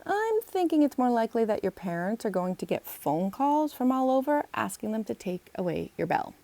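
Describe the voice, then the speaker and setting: A woman's voice: fairly high pitch, sing-song, normal speed, vocal fry, dark tone, dry recording. A woman voicing a sensible, grumbly young boy detective in a children's storybook, speaking in a firm, reluctant, emphatic manner. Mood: skeptical, firm.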